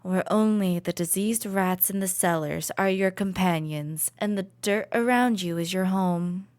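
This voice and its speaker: A woman's voice: mid-range voice, measured pace, no room sound, natural voice. Woman acting a haughty, cruel villain princess, close and dry. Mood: bitter, menacing. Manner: condescending, taunting, haughty, with soft menacing asides. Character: cruel, possessive royal villainess, a mocking princess captor. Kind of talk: ASMR roleplay, dramatic monologue to listener.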